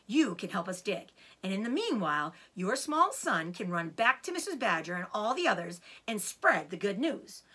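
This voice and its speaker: A woman's voice: medium pitch, big pitch swings, moderate pace, hardly any pauses, pushed and bright, husky, full character voice. A woman voicing a clever, confident and genial father fox in a children's storybook.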